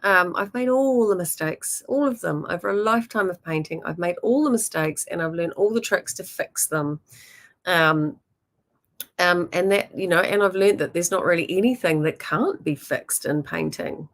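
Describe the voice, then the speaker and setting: A woman's voice: on the low side, moderate pace. A woman with a New Zealand accent, a painting teacher talking casually and encouragingly to her viewers, with frequent ums and you-knows. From born in Germany, raised in New Zealand.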